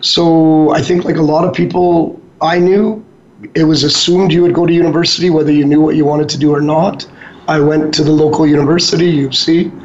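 A middle-aged man, an architect being interviewed in an office boardroom, answering in a relaxed, self-deprecating way. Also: a little high, fairly quick. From Calgary, Canada. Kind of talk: casual interview. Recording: dull lo-fi audio with faint hiss.

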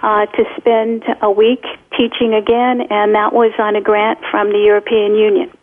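A woman's voice: mid-range voice, little pitch movement. A woman whose first language is Polish, a retired professor explaining her research as a guest in an interview. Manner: explanatory, measured lecturing. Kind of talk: radio interview answers. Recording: tinny phone line.

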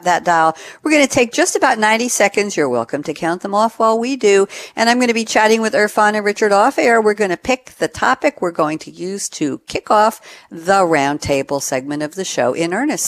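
A woman hosting a radio talk show from her home office, chatty and upbeat. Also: medium pitch. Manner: chatty and upbeat, teasing sign-offs. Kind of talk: lively radio host chat.

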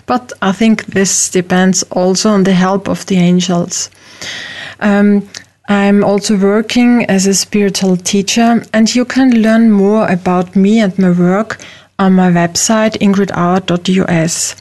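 A woman's voice: medium pitch, fairly even intonation, measured pace, a little mellow. A woman from Austria, a native German speaker with an Austrian German accent, hosting a radio talk show in careful, hesitant English.

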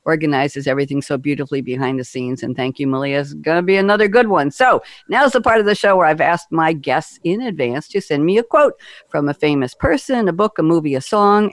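A woman's voice: deep voice, no room sound. A woman hosting a corporate podcast, chatty and upbeat, asking her listeners rhetorical questions. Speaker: podcast host.

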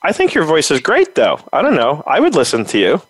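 A man's voice: a little high, wildly swooping pitch, fairly quick, slightly rough, no echo. A man co-hosting a podcast interview, friendly and conversational, asking follow-up questions. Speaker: podcast co-host.

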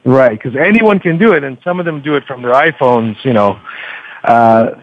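A man's voice: mid-range voice, moderate pace, dry recording. A man speaking as an interview guest in a chatty, hesitant way full of ums and filler phrases, on lo-fi radio audio. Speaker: podcast host being interviewed as a guest. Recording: dull lo-fi radio sound.

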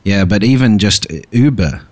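A man's voice: lowish voice, normal speed, darkish tone, no room sound. A man from Australia hosting a podcast, chatting casually with lots of fillers and restarts. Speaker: podcast host. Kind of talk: casual interview chat.

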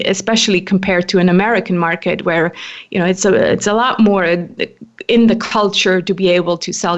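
A woman's voice: mid-range voice, vocal fry, highs cut off. A woman in her forties from Switzerland, a podcast guest chatting casually, with frequent laughs and restarts. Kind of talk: casual interview conversation.

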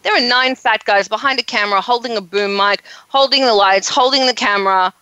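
Woman radio host, bright punchy voice, outspoken n chatty, dry sound. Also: fairly high pitch, a little fast, smooth, dry recording. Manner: outspoken, conversational, emphatic. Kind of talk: casual interview chat.

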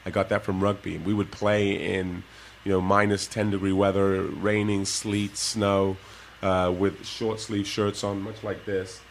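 A man, a former professional basketball player, telling his life story to kids in a relaxed way and laughing often. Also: deep voice, measured pace, hardly any pauses, slight background noise, poor audio. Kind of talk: casual interview talk to kids.

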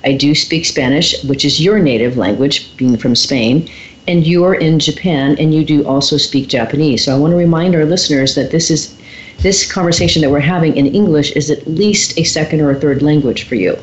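A woman's voice: deep voice, normal speed, echoey room, slight background noise, rough recording. A woman from Texas hosting an interview podcast, effusive and enthusiastic as she asks her questions. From Texas, USA. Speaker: podcast host. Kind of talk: interview conversation.

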